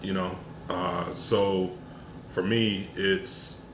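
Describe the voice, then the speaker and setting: Deep-voiced man in his forties, echoey room. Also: little pitch movement, extremely slow. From Jamaica, raised in Miami. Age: 40s. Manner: earnest, reflective storytelling. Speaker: podcast guest. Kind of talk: casual conversational podcast. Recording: echoey room with background noise.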